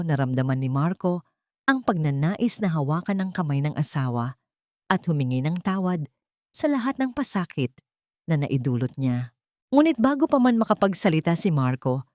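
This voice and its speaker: A woman's voice: deep voice, dark tone, no echo, studio quality. A woman narrator reading dramatic fiction as scripted storytelling.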